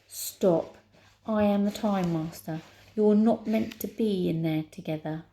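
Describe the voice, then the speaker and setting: Lady doing a bossy sulky magic tower, storybook villain, slow and pompous, creaky. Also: medium pitch, mellow, lightly acted. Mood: imperious. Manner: imperious, petulant. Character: pompous petulant talking stone tower, self-proclaimed master of time.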